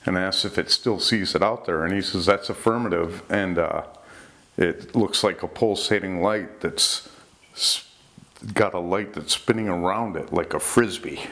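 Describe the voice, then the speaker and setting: A man with a low voice hosts an informal storytelling talk. He recounts incidents conversationally, relaying what was said in plain reported speech. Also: fairly bright tone. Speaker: host recounting UFO reports.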